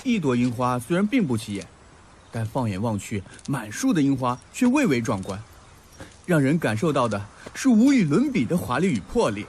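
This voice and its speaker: A man's voice: medium pitch, wildly swooping pitch, normal speed, a little mellow, slight background noise. A man playing a learned, earnest and sensitive young male student in an audio drama, talkative and heartfelt. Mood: rapt, lyrical. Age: young adult. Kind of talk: Mandarin audio drama acting. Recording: background music under the voice.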